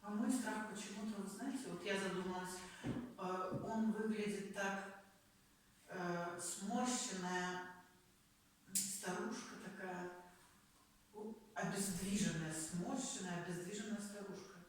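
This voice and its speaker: A woman answers in a group workshop at a slow pace. She is reflective and hesitant as she searches for words. The recording is distant and very echoey, with faint noise behind her. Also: medium pitch, fairly even intonation. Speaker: workshop participant, teacher.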